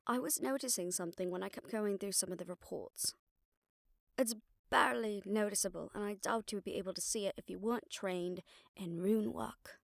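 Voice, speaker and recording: A sharp-tongued witch detective played by a woman in an intimate audio drama. Her voice has a pronounced creak and sits in a very dry recording. She explains things in a casual, wry way, like a seasoned investigator briefing an exasperating partner. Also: mid-range voice, a little fast, no echo, lightly acted. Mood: focused, explaining. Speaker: audio roleplay voice actor. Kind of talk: conversational audio roleplay acting.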